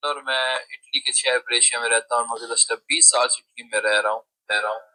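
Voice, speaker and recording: A man giving a recorded self-introduction, greeting and introducing himself slowly, captured on a phone. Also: medium pitch, fairly even intonation, sharp tone, low-quality recording.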